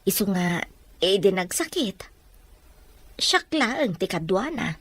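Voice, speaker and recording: Radio drama: protective, defensive godmother, very expressive, close dry studio sound. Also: mid-range voice, slow pace, no echo. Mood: earnest explaining. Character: devoted, defensive woman, godmother raising an orphaned child.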